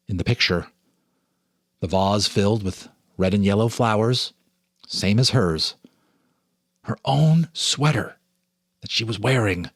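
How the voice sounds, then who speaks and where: A man giving a dramatic reading of a ghost story, with suspenseful, deliberate phrasing and dramatic pauses. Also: on the low side, slightly rough, dry recording, clean studio sound. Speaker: storyteller, narrator and host.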